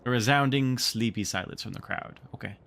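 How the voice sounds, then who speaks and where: A man narrating a storybook read-along with expressive, theatrical storytelling. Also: mid-range voice, a little fast, gravelly. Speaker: streamer reading a book aloud.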